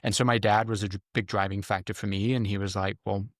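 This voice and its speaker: A middle-aged man from the UK answering interview questions conversationally, explaining things with frequent pauses. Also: fairly low pitch, little pitch movement, no room sound, studio quality. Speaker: guest, former Army Ranger medic.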